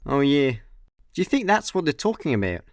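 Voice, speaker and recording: A man's voice: a little high, sing-song, a little fast, no room sound, studio quality. A man with a Scottish accent playing a laid-back, dim, easily distracted slacker in a comedy sci-fi radio play. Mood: puzzled. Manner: casual, offhand.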